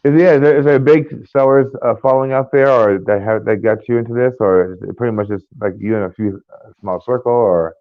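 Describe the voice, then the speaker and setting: An American man co-hosting a casual podcast, talking in a rambling, halting way with many fillers and restarts. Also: mid-range voice, dry recording. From United States. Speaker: podcast co-host. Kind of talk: casual chat. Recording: tinny phone-like line.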